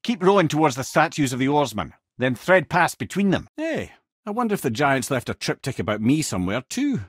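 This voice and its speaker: A man's voice: on the high side, big pitch swings, a little fast, husky, no room sound, clean studio sound. An actor with a Scottish accent playing a clever, chatty old male advisor in a video game, speaking with wry eloquence like a storyteller. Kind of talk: video game character voice lines.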